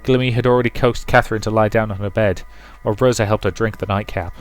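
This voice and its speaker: A man's voice: lowish voice, fairly even intonation, fast talker. A man reading a visual novel aloud as dramatic storybook narration for a let's play.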